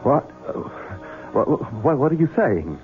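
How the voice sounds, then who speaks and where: An actor playing a domineering, nervous son in a 1970s radio drama, stammering one moment and curt the next. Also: male voice, mid-range voice, big pitch swings, measured pace, soft background music. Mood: flustered. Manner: stammering when caught off guard, curt and commanding otherwise. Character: domineering Southern son, nervous, drinking and cruel. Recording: narrow-band old radio broadcast.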